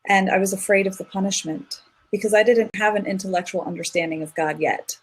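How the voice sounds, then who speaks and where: A woman's voice: mid-range voice, brisk, husky, rough recording. A woman speaking as a guest in an interview, telling a personal story in an earnest, reflective way with frequent restarts. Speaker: guest, singer.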